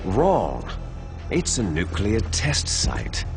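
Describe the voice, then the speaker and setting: A theatrical, menacing villain commander, swooping delivery. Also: male voice, on the low side, huge pitch swings, drawn-out words, music in the background, full character voice. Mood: cold, commanding. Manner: theatrical, condescending. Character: menacing, arrogant terrorist leader, scheming and grandiose man. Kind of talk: dramatic video game cutscene acting. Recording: loud game soundtrack behind the voice.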